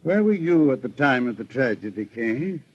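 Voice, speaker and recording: A dull, band-limited old radio recording carries a composed American detective. His voice sits at a medium pitch, and he reasons methodically in measured, formal sentences, like the boss of the case. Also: very animated, husky. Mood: calm inquiring. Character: composed, methodical veteran male private investigator, the boss. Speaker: radio actor. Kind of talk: old-time radio detective drama acting.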